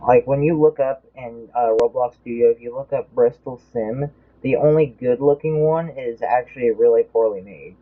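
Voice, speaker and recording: Young man calling a race over tinny audio. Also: medium pitch. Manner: rambling, loses track mid-sentence. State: voice feels off. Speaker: race commentator. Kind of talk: casual play-by-play commentary.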